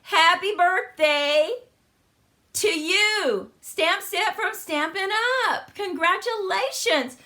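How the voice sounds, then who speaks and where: Bright-voiced cheerful woman host. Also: very high voice, slow pace. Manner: cheerful, chatty and welcoming. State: slightly crackly voice after the flu. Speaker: host of a card-making channel. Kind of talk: live stream chat and crafting demo.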